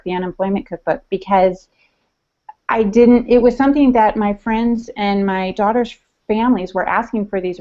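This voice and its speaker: A woman's voice: mid-range voice, a little mellow. A woman chatting casually as a podcast co-host, in a conversational, bantering style. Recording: dull lo-fi podcast audio.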